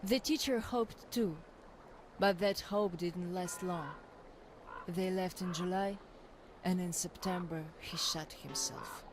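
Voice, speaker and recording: A tough, wistful young survivor. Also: female voice, fairly low pitch, slow, background noise. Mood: wistful, reflective. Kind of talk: reflective scripted game monologue.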